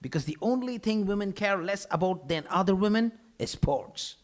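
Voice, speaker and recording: Man acting as smug male rival, sneering, taunting, very animated pitch, live sitcom reading. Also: normal speed. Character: smug, mocking, sexist male bowling rival. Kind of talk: live comedy table read.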